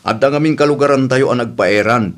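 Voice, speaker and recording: Mid-pitched dutiful son, acted by a man. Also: fairly quick, natural voice. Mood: eager. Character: dutiful, eager hardworking adult son. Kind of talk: radio drama acting.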